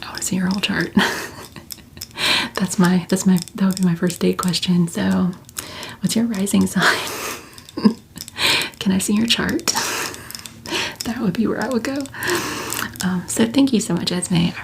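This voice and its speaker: A woman's voice: medium pitch, steady tone, a little slow, creaky, pauses often, background noise. A woman whispering through a chatty ASMR question-and-answer video, full of "like" and "um" fillers. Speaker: ASMR creator.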